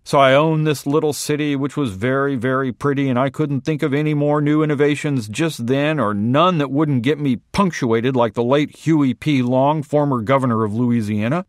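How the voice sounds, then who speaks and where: A man reading a short story aloud in a wry, deadpan first-person voice. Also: mid-range voice, moderate pace, few pauses, dry recording, clean studio sound. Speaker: reader.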